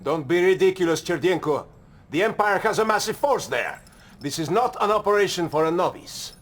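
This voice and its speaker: A man playing a gruff, dismissive veteran Soviet general. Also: high-pitched, animated, brisk, a bit bright. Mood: stern. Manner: curt, scornful.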